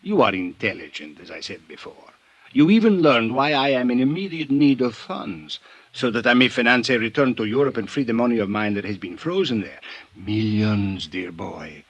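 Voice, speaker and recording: Florid, genteel radio crime boss. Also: male voice, medium pitch, lively intonation, no echo, lo-fi sound. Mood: smooth flattery. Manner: florid, wheedling, formal speech with drawn-out endearments. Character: genteel, scheming foreign crime boss, falsely affectionate, menacing. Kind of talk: 1950s radio drama acting.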